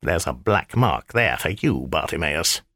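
A man's voice: very deep voice, sing-song, measured pace, a bit bright, no echo, studio quality, pitched below the performer's own voice, full character voice. A man voicing an irritable old male magician, stern and sardonic, in a clipped, scolding manner for an audiobook. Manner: clipped, scolding, dryly sarcastic. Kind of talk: audiobook character voice.

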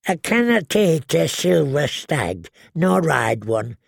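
A man voicing an ancient, tiny dwarf woman seer who speaks slowly and eerily with a croaking, drawn-out voice. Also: fairly high pitch, very animated, dry recording, studio quality, pitched above the performer's own voice, full character voice. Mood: wheedling. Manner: slow, wheedling, prophetic. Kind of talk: audiobook character voice.

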